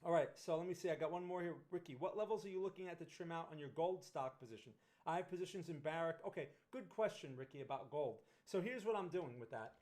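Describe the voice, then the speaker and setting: A man's voice: on the high side, quick pace, few pauses, darkish tone. A middle-aged man from Northern New Jersey with a New Jersey accent, hosting a casual livestream about trading and often checking in with "right?". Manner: conversational, frequent "right?" tag questions and restarts. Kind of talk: casual livestream market analysis.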